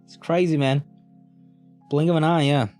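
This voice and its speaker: A man's voice: fairly high pitch, fairly even intonation, moderate pace, a little mellow, faint music behind. A young man casually chatting with a live stream audience in a laid-back, slangy, rambling way. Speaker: streamer answering live chat.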